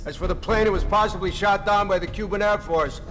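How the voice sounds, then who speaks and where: Commanding Navy officer, high-pitched male voice. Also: fairly even intonation, a little fast, fairly bright tone, faint music behind. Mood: urgent, firm. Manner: clipped, authoritative. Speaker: actor in a video game cutscene. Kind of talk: military briefing dialogue.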